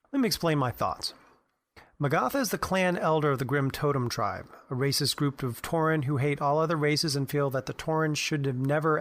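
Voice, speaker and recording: A man with a voice a little higher than most presents scripted commentary on game lore. He lays it out in an explanatory podcast style. The recording is dry and free of room echo. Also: a bit bright.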